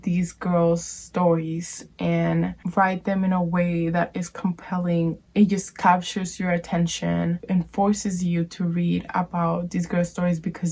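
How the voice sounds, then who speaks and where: A woman's voice: fairly low pitch, creaky, mellow. A woman from the Dominican Republic with a Dominican Spanish accent, a native Spanish speaker, earnestly recommending a book on her channel. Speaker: book reviewer. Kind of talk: booktube recommendation.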